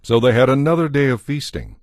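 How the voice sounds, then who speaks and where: A man narrating a dramatized Bible as a scripted story, in a measured storytelling manner. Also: medium pitch, big pitch swings, a little mellow, no echo, clean studio sound.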